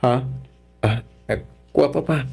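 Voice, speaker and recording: Guy doing a humble young ilocano son-in-law in a radio drama, kinda raspy, polite, hesitant, faint music. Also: mid-range voice, measured pace, a little mellow. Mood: deferential. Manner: respectful, hesitant.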